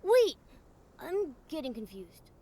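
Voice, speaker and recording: A woman's voice: high-pitched, very animated, slow, mellow, slight background noise, full character voice. A woman voicing a small, naive companion creature in a video game, with a puzzled, candid manner. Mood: confused. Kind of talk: cartoonish video game voice acting.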